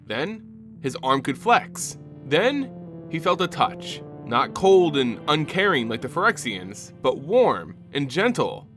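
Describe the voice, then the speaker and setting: A man narrating a scripted fantasy story dramatically, with theatrical, deliberate phrasing. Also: on the high side, very animated, fairly bright tone, soft background music. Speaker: channel host and narrator.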